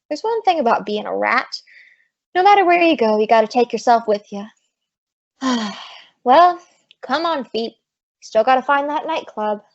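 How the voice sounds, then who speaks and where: A woman's voice: a little high, highs cut off. A woman playing a brassy, big-hearted, wisecracking working woman in an old-time radio comedy.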